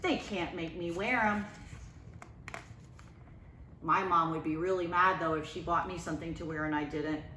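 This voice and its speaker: A woman's voice: lowish voice, slight background noise. A woman who is a school principal reading a picture book aloud to young children, expressively and with chatty asides. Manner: expressive, drawn-out delivery addressed to young children. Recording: echoey, roomy sound.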